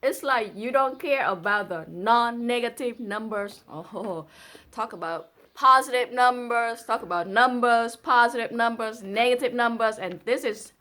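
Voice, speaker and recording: A woman's voice: on the high side, measured pace, a bit bright. A woman with a Vietnamese accent, a native Vietnamese speaker, casually reading a poem with playful, chatty asides. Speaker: presenter reading a translated poem.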